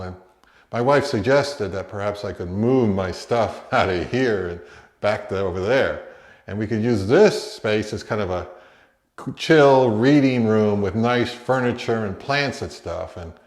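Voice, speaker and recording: A middle-aged man narrating a hi-fi vlog in a conversational, measured, explanatory way. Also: fairly low pitch, roomy sound. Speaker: hi-fi YouTuber. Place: indoors in a home room.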